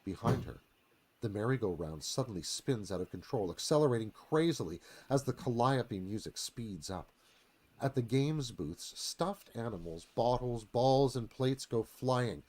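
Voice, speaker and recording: A man's voice: mid-range voice, lively intonation, measured pace, darkish tone. A man giving a dramatic scripted narration, reading stage directions as narrator.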